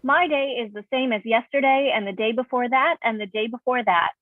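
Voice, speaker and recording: A thin, phone-like voice, slightly high: a woman playing a female robot assistant. She is courteous and literal, with formal, measured, precise phrasing in a science fiction drama reading. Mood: polite, literal. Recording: thin phone-like band-limited sound.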